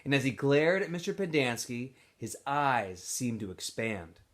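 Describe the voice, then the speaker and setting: A man reading a storybook aloud to students in an animated, expressive way, with casual asides to his listeners. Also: mid-range voice, unhurried.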